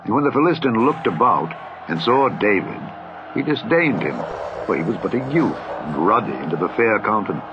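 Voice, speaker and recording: A man's voice: fairly low pitch, very animated, normal speed, highs cut off, over music. Solemn male scripture narrator. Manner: solemn, archaic phrasing. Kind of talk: scripture reading for children.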